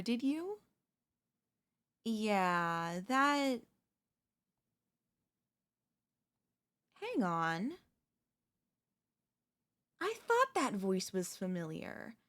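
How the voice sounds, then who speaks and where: Woman playing a slow, wheedling chatty zombie woman. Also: fairly high pitch. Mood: amused, candid. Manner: wheedling, conversational, with hesitant slips and self-corrections. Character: chatty, falsely friendly female zombie coaxing a survivor outside. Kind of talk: roleplay audio acting addressed to the listener.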